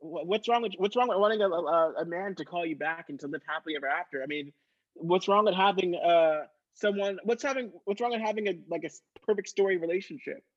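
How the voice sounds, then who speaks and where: A woman's voice: lowish voice, quick pace, lightly acted. A whiny, lovesick ditz, played by a woman in an improv sketch, rambling like a valley girl. The sound is a little dull. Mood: whiny, pleading. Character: whiny lovesick ditzy woman seeking boy advice.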